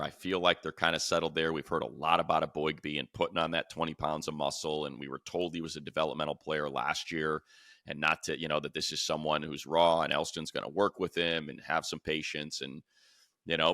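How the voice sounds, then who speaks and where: Male sports talk host: extremely low voice with fry, conversational, circling back on his phrasing. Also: little pitch movement, brisk, sharp tone, dry recording, clean studio sound.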